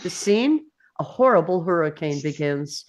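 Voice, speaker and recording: A woman serves as narrator, reading scene descriptions from a script. She takes her time, with a slightly low pitch. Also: animated, measured pace. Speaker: narrator reading stage directions.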